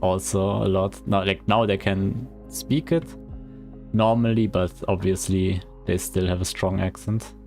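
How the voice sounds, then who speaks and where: A man's voice: deep voice, fairly even intonation, measured pace, gravelly, darkish tone, faint music behind. A man with a German accent, a game developer chatting casually on a livestream in a rambling, hesitant way. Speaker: indie game developer streaming.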